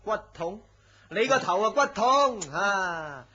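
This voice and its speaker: A man's voice: high-pitched, takes it slowly, exaggerated voice. A man with a Hong Kong Cantonese accent playing a blunt, teasing friend who fusses and scolds in a radio drama. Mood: scolding, exasperated. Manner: exasperated, scolding, animated sighing delivery. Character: blunt, teasing, fussing male friend and co-worker. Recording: dull lo-fi radio drama audio.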